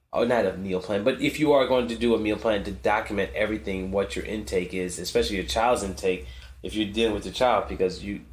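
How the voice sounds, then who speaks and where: A man co-hosting a podcast, explaining household routines in a steady, practical way. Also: fairly low pitch, roomy sound. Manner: married couple's parent perspective. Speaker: podcast co-host. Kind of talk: casual podcast talk.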